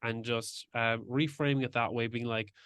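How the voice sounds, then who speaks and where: A male podcast host with a smooth, clear voice, recorded close and dry. He talks openly and personally, sharing his own experiences at length. His pitch sits in the middle range. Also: fairly even intonation, moderate pace, fairly bright tone, no room sound. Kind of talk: casual interview talk.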